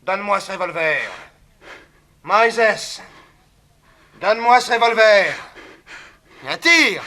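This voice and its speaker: Casting: brave young gentleman, very bright forceful voice, swooping delivery, old radio serial. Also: sits high, animated, slow pace, exaggerated voice. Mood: defiant, daring. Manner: declamatory, defiant. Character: brave, proud young gentleman out to prove his courage. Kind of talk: 1960s French radio drama acting.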